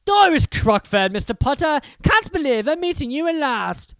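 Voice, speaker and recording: Eager chatty witch, voice pushed up high, as the reader does her. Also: big pitch swings, moderate pace, a bit bright, dry recording, studio quality, full character voice. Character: eager middle-aged witch in a pub.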